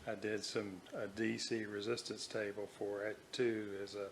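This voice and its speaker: A man narrating an unscripted workbench vlog as a hobbyist restorer, speaking haltingly in short, hesitant phrases. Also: fairly low pitch, level tone. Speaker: hobbyist radio restorer presenting own video. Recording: clearly audible background noise.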